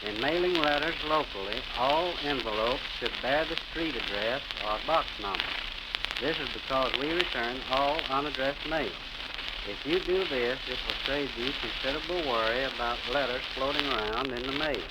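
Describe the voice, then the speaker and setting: An American man giving plain, measured, scripted answers as a guest on an old radio interview. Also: medium pitch. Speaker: postal clerk interview guest. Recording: scratchy, hissing old 78 rpm radio disc.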